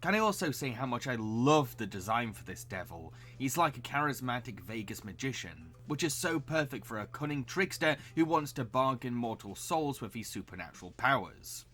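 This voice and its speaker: A man hosts a scripted cartoon recommendation video in a bright, forceful voice. He delivers it as narration, with light music underneath. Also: medium pitch, very animated, normal speed, few pauses. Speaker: cartoon reviewer and host.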